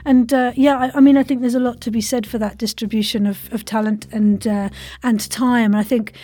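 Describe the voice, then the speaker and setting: A woman's voice: fairly high pitch, fairly even intonation, a little fast, mellow. A woman hosting a casual interview, chatty and encouraging, asking questions in a roundabout way. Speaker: interviewer, podcast host.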